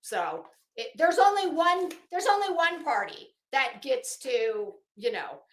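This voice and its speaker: A woman's voice: high-pitched, sing-song, slow pace, echoey room. A woman hosting a casual livestream, chatting to viewers in a rambling, opinionated, emphatic way. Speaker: livestream host.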